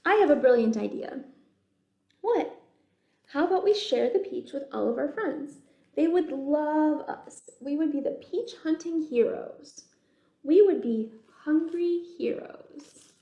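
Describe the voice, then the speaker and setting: A woman voicing excitable, childlike little bugs from a picture book, exclaiming dramatically. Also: sits high, measured pace, muffled recording. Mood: eager, proud. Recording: roomy, echoey indoor sound.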